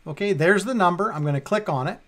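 A man's voice: high voice, fast, a bit bright. A man in his fifties from Canada casually talking through a step-by-step screen tutorial as he does it. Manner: talks himself through each step. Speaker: tutorial presenter. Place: indoors, at a computer.

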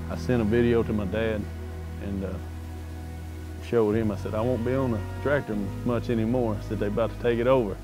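A low-voiced Southern man chatting folksily in a farm profile video, with music playing underneath. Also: low-quality recording. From Arkansas, United States. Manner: folksy, plainspoken. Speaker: farmer. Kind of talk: casual interview storytelling. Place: outdoors in an open pasture.

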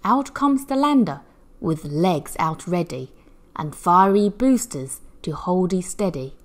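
A woman reading a picture book aloud, in an animated, measured storytelling manner for young children. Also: lowish voice.

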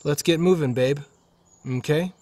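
Expedition leader for audio drama male actor; fairly high, slow-spoken, bossy. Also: a little mellow, lightly acted. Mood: impatient. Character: gruff, impatient leader of an expedition.

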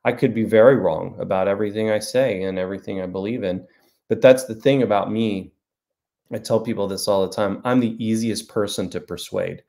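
A man's voice: lowish voice, fairly even intonation, brisk, creaky, darkish tone. A man in his forties, an author hosting a casual livestream from a small room at home, talking to a webcam and answering viewer comments in a rambling, self-deprecating way. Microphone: webcam at desk.

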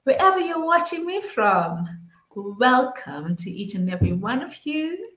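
A woman in her forties hosting a live online interview, greeting viewers with upbeat, drawn-out welcomes over a webcam. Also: high voice, very animated, fairly bright tone. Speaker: host. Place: small room at home. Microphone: webcam on a video call. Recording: echoey room.

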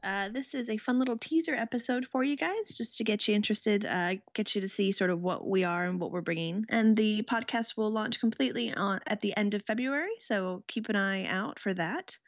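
A woman's voice: medium pitch, brisk, hardly any pauses, no echo, dull audio. A woman hosting a podcast, giving a casual introduction. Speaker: podcast host and founder.

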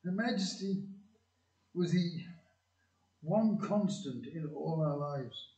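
A man's voice: fairly high pitch, slow, warm tone. A man giving scripted narration in an amateur audio play. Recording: echoey room, distant muffled mic.

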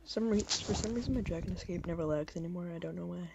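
A woman's voice: fairly low pitch, fast, gravelly, very noisy. A teenage girl with a slight lisp, chatting and teasing casually during a game. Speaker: friend in game session. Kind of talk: casual gaming chat. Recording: dull lo-fi mic with loud clicks.

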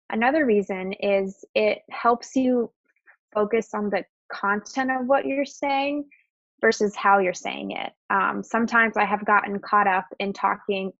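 A woman's voice: medium pitch, fairly bright tone, no room sound. A young adult woman who stutters at times, presenting slides in a webinar in an open, conversational way. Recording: dull, lo-fi webinar audio.

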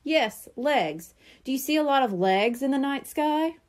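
A woman's voice: sits high, lively intonation, a little slow, a little mellow, pitched above the performer's own voice. A woman voicing a brainy, condescending young boy in a storybook, explaining things precisely and patiently. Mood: patient lecturing. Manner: precise, explanatory, know-it-all.

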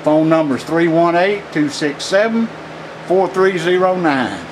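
A middle-aged man, a knife maker giving a casual, rambling tour of his small metal workshop, with many pauses and fillers. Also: fairly high pitch, animated. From Louisiana, USA. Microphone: handheld camera mic. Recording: noisy handheld camera audio.

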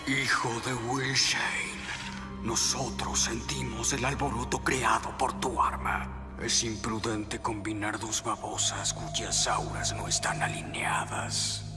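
A man voicing a solemn shadowy clan leader in a cartoon, breathy, grave and cryptic. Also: medium pitch, little pitch movement, slow pace, close to an everyday voice. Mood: grave warning. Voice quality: breathy whispering. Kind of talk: dubbed animated series acting. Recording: loud music behind.

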